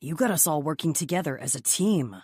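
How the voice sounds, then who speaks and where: An actor as an earnest, husky, lively male colleague. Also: on the high side, brisk, dry recording, studio quality. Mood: admiring. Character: Scott, warm admiring male office colleague and friend. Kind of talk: audiobook character dialogue.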